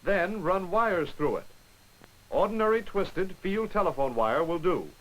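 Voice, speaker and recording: A man giving brisk, wry scripted narration for a 1940s military training film, on an old film soundtrack. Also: sits high, lively intonation, rough recording.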